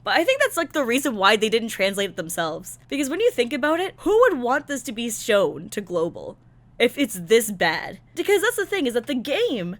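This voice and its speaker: Animated high-pitched sarcastic woman. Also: moderate pace. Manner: sarcastic, exasperated, blunt with swearing. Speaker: video creator reviewing a game's visual novel. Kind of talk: casual ranting summary commentary.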